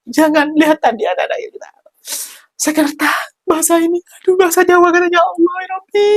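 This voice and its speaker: A man putting on a shrill, near-tearful voice, pleading with an official. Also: a bit bright, no room sound.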